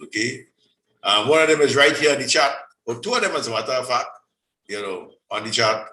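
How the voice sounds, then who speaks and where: A man leads an online meeting in a chatty way, with a bright, forceful voice. He sits away from the mic, so he sounds distant in an echoey room. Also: medium pitch, expressive, brisk. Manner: chatty, hands over the floor. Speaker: host of a radio club meeting.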